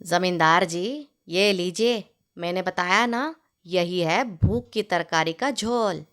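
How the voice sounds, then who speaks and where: A woman voices a patient, clever gardener, a man serving his master in a storybook tale. The voice sits below the storyteller's own pitch and moves slowly, respectful and explanatory. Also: fairly bright tone, clear, resonant tone. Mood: explaining, wise. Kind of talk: storybook character voice in narrated tale.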